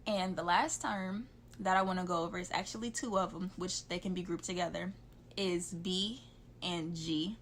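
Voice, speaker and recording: A woman's voice: fairly low pitch, a little hiss or hum. A young woman from the south side of Chicago, with a Chicago accent, chatting casually as she explains local slang. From south side of Chicago, USA.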